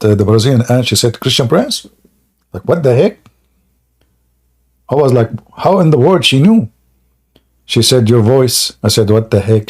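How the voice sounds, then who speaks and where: Man, mellow voice, call-in show host, mocking, joking, tall tales. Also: medium pitch. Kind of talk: live call-in religious debate show.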